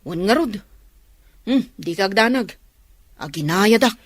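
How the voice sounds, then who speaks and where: A woman acting an excitable, easygoing motorbike rider in a village radio comedy drama, exclaiming with drawn-out delight. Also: medium pitch, slightly rough, dry recording, clean studio sound.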